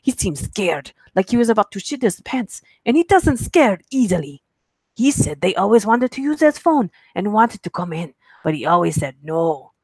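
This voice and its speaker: A woman's voice: medium pitch, big pitch swings, normal speed, darkish tone, slightly rough, dry recording. Woman attempting an anxious Russian-accented storyteller, dry sound. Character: scared friend narrating in a put-on Russian accent.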